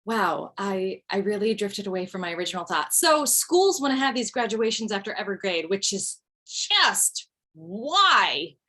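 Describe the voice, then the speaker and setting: A woman's voice: mid-range voice, sing-song, moderate pace, sharp tone. A woman acting a wry widowed mother doing stand-up. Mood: rambling, darkly comic. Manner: wry, rambling, punchy comic delivery. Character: recently widowed mother in her forties, rambling, darkly joking. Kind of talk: table read of stand-up style monologue.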